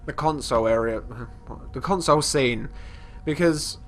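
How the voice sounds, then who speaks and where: A man's voice: on the high side, measured pace, fairly bright tone, soft background music. A congested man chatting casually as he plays a fantasy game, rambling and often restarting his sentences while describing his character's actions. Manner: talks about his character in third person. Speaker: let's play host. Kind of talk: casual gameplay commentary.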